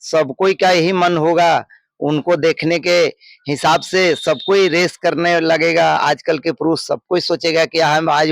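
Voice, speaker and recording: A man's voice: on the high side, creaky, no echo. A local man giving his opinion in a street interview, earnest, emphatic and repetitive.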